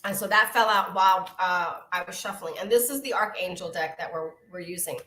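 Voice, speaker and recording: A woman's voice: lowish voice, a little fast, roomy sound. A middle-aged woman with a Brooklyn New York accent, chatting casually while giving oracle card readings on a live stream. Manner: chatty, warm greetings to viewers, frequent hearty laughs.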